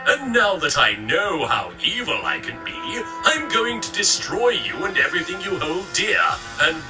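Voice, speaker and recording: Smug theatrical villain over music. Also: male voice, sits high, very animated, moderate pace. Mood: menacing. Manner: theatrical, sardonic. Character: smug, menacing villain, mock-polite and gloating. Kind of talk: comedic anime parody dub. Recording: dub playback with loud music, distant.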